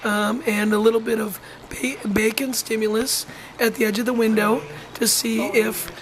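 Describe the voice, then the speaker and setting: Hoarse, halting narrator with a flat voice. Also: medium pitch, a crowd in the background, rough recording. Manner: halting, frequent ums and restarts. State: hoarse, losing voice. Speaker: wolf center educator. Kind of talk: informal voice-over narration.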